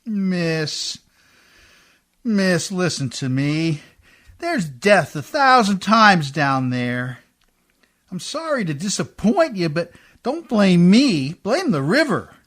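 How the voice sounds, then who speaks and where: A man acting a rough, hard-drinking riverboat man who whines and pleads in folksy, uneducated speech in a radio play. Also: high voice, very animated, slow, a bit bright, heavily acted. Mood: resigned protest.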